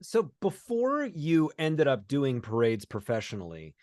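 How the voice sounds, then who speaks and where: A man's voice: medium pitch, wildly swooping pitch, unhurried, darkish tone, dry recording, clean studio sound. A man hosting a conversational interview, reflectively summing things up before he asks a question. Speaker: interview host.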